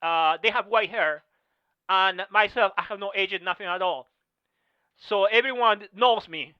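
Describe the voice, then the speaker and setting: A middle-aged man whose first language is neither English nor French, giving halting testimony full of pauses and restarts over a video call. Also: high-pitched, clean tone, no room sound. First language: not English or French. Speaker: Masters track and field athlete. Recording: slightly muffled video call audio.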